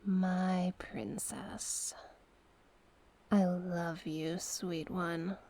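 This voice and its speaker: A woman voicing a seductive, manipulative sea witch in an ASMR roleplay, coaxing hypnotically in a breathy, husky voice that often drops to a whisper. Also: lowish voice, steady tone, warm tone, exaggerated voice. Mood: tender, possessive. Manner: slow, hypnotic, coaxing repetition.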